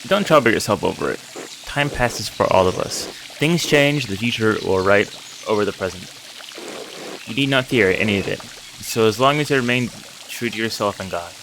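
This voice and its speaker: A man voicing a humble old monk mentor in a video game, gentle, measured and fatherly. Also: fairly low pitch, animated, noisy background. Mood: reassuring. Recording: music under the voice.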